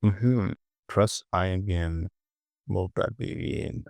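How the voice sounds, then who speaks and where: A man narrating a casual step-by-step software tutorial in short instructions, often repeating phrases and asking himself questions. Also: low voice, takes it slowly, creaky, dry recording.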